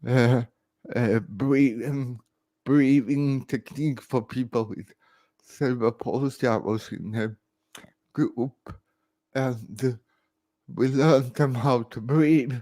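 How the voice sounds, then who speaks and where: Man in his fifties, very slow laboured delivery, halting short phrases, personal vlog talk, dry close sound. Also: medium pitch, dark tone, no room sound. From Sweden. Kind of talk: live personal talk to camera.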